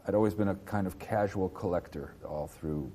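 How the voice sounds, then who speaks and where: Very deep-voiced man in his fifties. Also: dark tone, slight background noise. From New Jersey, US. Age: 50s. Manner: halting, many fillers and restarts. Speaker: interviewee, antiquarian bookseller. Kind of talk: casual interview.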